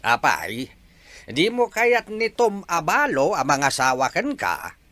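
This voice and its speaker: A man acting an insistent, forceful father in an audio drama. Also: a little high, wildly swooping pitch, unhurried, dry recording, full character voice. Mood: firm. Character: insistent father urging his daughter to marry.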